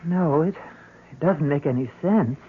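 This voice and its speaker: Shaken middle-aged mother, radio drama: urgent, emotional, breathy-rough tone, muffled vintage sound. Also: sits low, a little slow. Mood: uneasy, hesitant. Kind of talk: 1970s radio drama acting. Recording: dull vintage radio broadcast.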